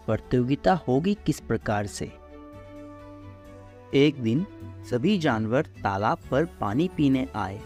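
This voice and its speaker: A man's voice: medium pitch, darkish tone. A man narrating a scripted children's story as the storyteller.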